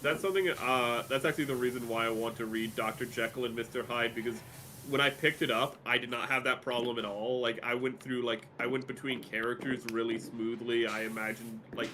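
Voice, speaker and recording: A man's voice: mid-range voice, little pitch movement, brisk, noisy background. A man streaming a game, talking casually and animatedly, with a rambling flow full of fillers. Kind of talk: casual chat while gaming.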